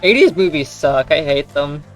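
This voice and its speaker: A man's voice: a little high, expressive, moderate pace, a bit bright, soft background music. A young man in casual, joking chat with a friend as a let's play co-host, giggly and sweary.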